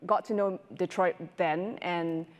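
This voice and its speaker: A middle-aged woman from Singapore answering conversationally as a panelist, halting as she thinks aloud, on a lapel mic on a large stage. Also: on the low side, steady tone. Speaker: panelist, restaurateur and business owner. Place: large indoor conference stage. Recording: echoey auditorium stage, long reverb.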